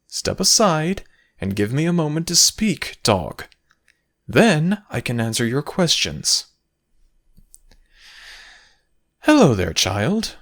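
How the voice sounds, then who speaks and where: A man's voice: a little high, sing-song, takes it slowly, fairly bright tone, voice pushed up, full character voice. A man voicing a lofty male Egyptian god in a dramatic audio roleplay, explaining things in a formal, theatrical and superior manner. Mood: lofty, gracious.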